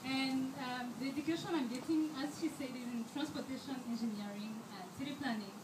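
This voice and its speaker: A woman's voice: high voice, a little fast. Young woman speaking from far off in an echoey hall. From Rwanda. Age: 20s. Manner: earnest, with frequent fillers and restarts. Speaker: scholarship student giving a speech. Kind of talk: prepared speech at a fundraiser. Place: event hall. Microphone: handheld microphone held too far away. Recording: echoey hall, distant mic, background noise.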